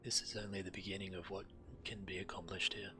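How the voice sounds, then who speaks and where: A man with a very low voice reads quest text aloud as a game streamer. Game music plays clearly behind him. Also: flat delivery, quick pace.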